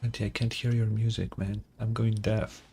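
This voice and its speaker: A man's voice: on the low side, little pitch movement, moderate pace, creaky, darkish tone, slight background noise. A man doing casual gaming livestream commentary in a sarcastic, mock-exasperated way, swearing freely. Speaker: streamer.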